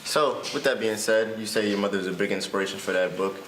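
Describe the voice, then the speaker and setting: A man's voice: lowish voice, fast talker, a bit bright, reverberant, a little hiss or hum, low-quality recording. A young man, a college student, hosting a casual interview and asking informal questions. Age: young adult.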